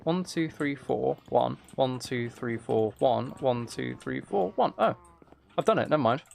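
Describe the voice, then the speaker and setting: Gravelly-voiced man chatting on a game stream. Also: medium pitch, expressive, unhurried, darkish tone. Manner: muttering to himself while playing, repeats phrases. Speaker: livestream host. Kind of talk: casual game stream chat, reading and answering viewer messages.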